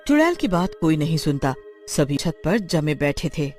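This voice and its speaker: A story narrator reads with lively, swinging intonation. The delivery is scripted and dramatic, at a medium pitch. Faint music plays behind it. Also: moderate pace.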